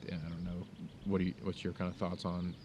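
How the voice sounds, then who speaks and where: A young man from the United States co-hosting a podcast, talking things through in a halting, thoughtful way with many fillers and restarts. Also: low voice, little pitch movement, dull audio, noisy background. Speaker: podcast co-host. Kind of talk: casual conversation. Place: outdoors in the mountains.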